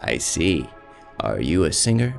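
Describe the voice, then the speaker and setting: A man hosting a live improv comedy show, teasing and questioning a guest character with animated delivery. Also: very deep voice, unhurried, creaky, soft background music. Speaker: host.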